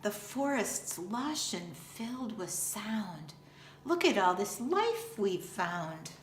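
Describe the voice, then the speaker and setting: A woman reads aloud for children like a schoolteacher. Her delivery is unhurried, deliberate and expressive. The room adds noticeable echo, with a faint layer of noise behind her. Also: medium pitch. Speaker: school teacher reading aloud. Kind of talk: children's picture book read-aloud.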